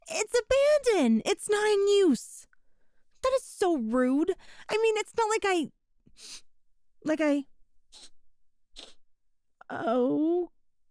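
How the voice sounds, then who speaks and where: A young cat-girl burglar, played by a woman, sheepish and flustered, answering back when caught. The voice sits high and the words come slowly and unhurried. It is recorded close and dry, with no room sound. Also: a little mellow, dry recording. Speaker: ASMR roleplay performer. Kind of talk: ASMR audio roleplay.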